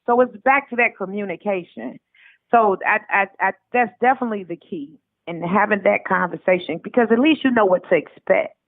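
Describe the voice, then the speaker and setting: A woman's voice: mid-range voice, brisk, dry recording. Over a narrow, tinny telephone line, a woman speaks as an interview guest in a mid-range voice. Her talk is casual and candid, with blunt, colloquial phrasing. The line is dry, with no room sound. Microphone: telephone call.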